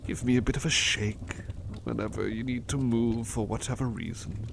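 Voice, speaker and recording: A man's voice: medium pitch, steady tone, creaky, background noise, close to an everyday voice. A man playing an overworked, affectionate butler in an intimate ASMR roleplay, speaking politely and hesitantly. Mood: sleepy, tender. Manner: hesitant, halting, polite old-fashioned phrasing, affectionate. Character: overworked, devoted, weary butler resting affectionately on partner's lap.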